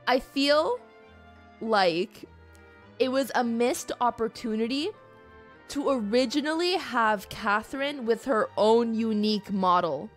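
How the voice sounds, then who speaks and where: A woman streaming a game with a high, very animated voice. She rambles playfully and expressively to her chat in casual commentary, with music faintly behind. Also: slow. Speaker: livestreamer.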